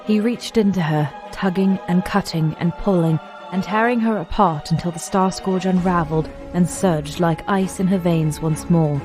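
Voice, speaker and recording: A woman's voice: fairly low pitch, normal speed, natural voice. A woman acting as a grave storyteller, narrating an audio drama in a scripted dramatic style. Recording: music under the voice.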